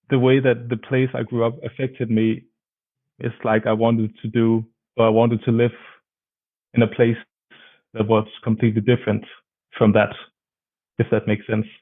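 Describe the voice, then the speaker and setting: A man's voice: mid-range voice, flat delivery, measured pace, pauses often. A man from Denmark, a band member answering thoughtfully and searching for words. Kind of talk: casual interview answers. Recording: tinny phone-like line.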